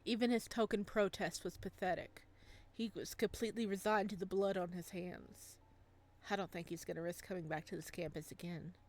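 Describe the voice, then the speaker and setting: A woman's voice: on the low side, fairly even intonation, normal speed, a little mellow, natural voice. A woman reading a young male ghost's narration and dialogue from a visual novel, wistful and bitter, in an earnest dramatic manner. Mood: cold, bitter.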